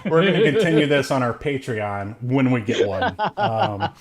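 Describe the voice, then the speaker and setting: A man's voice: mid-range voice, big pitch swings, normal speed, over a noisy crowd. A man co-hosting a podcast, giving a casual, comedic movie recap in a rambling, jokey way with frequent chuckles. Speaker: podcast co-host reviewing films.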